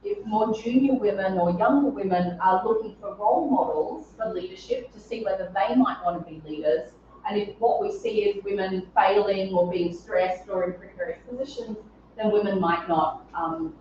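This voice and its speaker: A woman's voice: medium pitch, normal speed, a little mellow. Scratchy-voiced woman lecturing in echoey hall. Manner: clear explanatory delivery with occasional ums and throat clearing. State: slightly scratchy voice. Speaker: psychology professor giving a conference talk. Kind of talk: academic lecture. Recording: distant, echoey, dull-sounding room.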